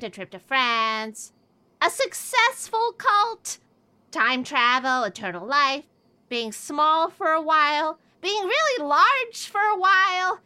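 A woman's voice: high-pitched, bright, forceful tone, exaggerated voice. A woman playing a scatterbrained old keeper of a magic item, matter-of-fact and rambling, in a comedy-horror radio play.

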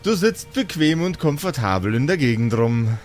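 Male game master, lively intonation, playful and teasing, improvised fantasy storytelling over soft music. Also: a little high, moderate pace. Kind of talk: improvised storytelling for a tabletop role-playing podcast.

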